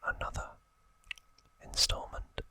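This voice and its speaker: A man in his thirties whispering in a breathy voice, telling a story as an ASMR host in short phrases with pauses. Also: sharp tone. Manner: slow, deliberate, short phrases with long pauses.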